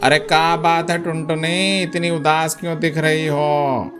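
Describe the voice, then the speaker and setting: A brisk male bird from a children's cartoon, voiced by a woman. The pitch is on the low side with little melody. The manner is matter-of-fact, and music plays behind. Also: little pitch movement. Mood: friendly concern. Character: male cartoon birds: friendly parrot, pushy crow seller. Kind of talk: Hindi children's cartoon dubbing.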